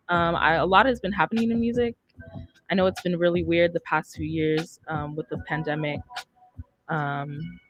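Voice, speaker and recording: A young woman hosting a casual chat in an informal, loosely improvised way, close on a studio microphone. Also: lowish voice. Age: young adult. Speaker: podcast host. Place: podcast studio, indoors. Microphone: close studio microphone on boom arm.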